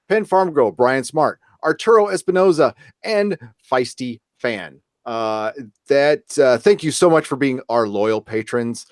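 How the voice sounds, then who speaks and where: A man's voice: mid-range voice, dry recording, clean studio sound. A man hosting a podcast in loose, joking, casual chat and reading out comments. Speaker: podcast host.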